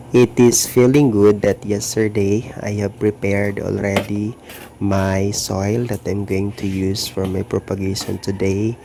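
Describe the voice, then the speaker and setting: Man, filipino accent, gravelly, mellow, earnest gardening vlogger. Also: fairly low pitch, a little hiss or hum. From Philippines. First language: Tagalog or Filipino. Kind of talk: narrated gardening vlog. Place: home garden in the province.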